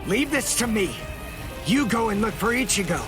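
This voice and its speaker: An actor playing an earnest, intense and protective young warrior in an anime game. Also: male voice, sits high, expressive, moderate pace. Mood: urgent. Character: earnest, analytical young male archer-warrior, protective and indignant. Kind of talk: anime video game voice acting. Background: music.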